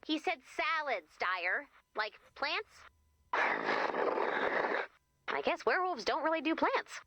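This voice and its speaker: Young female mission leader, very high voice, swooping intonation, chatty, encouraging, video game. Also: slow pace, very noisy, exaggerated voice. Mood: excited. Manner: chatty, coaxing, animated. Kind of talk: video game character voice acting.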